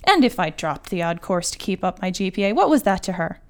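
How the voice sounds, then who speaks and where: Clear, smooth woman reading a story. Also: fairly low pitch, very animated, fairly quick. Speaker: guest writer reading her own fiction. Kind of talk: literary short story reading.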